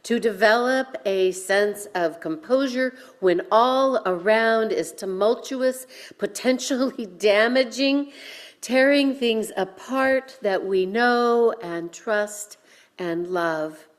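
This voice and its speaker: Woman minister giving a sermon, really slow pace, pauses a lot and leans on key words. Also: fairly high pitch. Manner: deliberate, dramatic emphasis with pauses.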